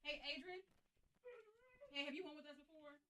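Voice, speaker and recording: A woman's voice: high voice, steady tone, normal speed, bright, forceful tone, rough recording. A woman co-hosting morning radio in a small studio, chatty and giggly, taking a prize winner's details. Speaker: radio co-host, handles callers. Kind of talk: casual radio banter, phone with a winner.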